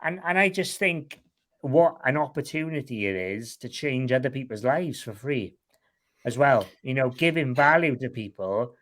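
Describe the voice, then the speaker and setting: Welsh man, plain mid pitch, candid guest in a loose chat, swears freely. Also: animated, moderate pace, a bit bright. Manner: frank and rambling, swears casually. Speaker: guest, online seller.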